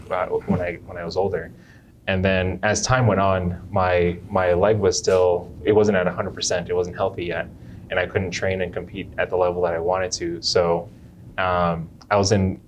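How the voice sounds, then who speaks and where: A young man from Jamaica who works as a chiropractor, answering interview questions in an unhurried way and often restarting his phrases. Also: low voice, slight background noise.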